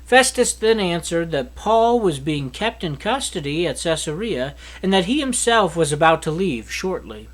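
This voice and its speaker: A man in his thirties reading scripture aloud in a solemn, declamatory way with deliberate phrasing. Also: fairly high pitch, very animated. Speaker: Bible reader. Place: small indoor study with bookshelf. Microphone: microphone on a stand at a lectern.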